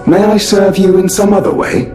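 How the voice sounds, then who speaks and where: An actor voicing a polite, formal puppet butler in a video game, explaining things precisely in an echoey space. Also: male voice, sits high, steady tone, normal speed, close to an everyday voice. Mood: courteous. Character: courteous, formal puppet butler serving hotel guests. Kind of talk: game character exposition. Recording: echoey room, faint music behind.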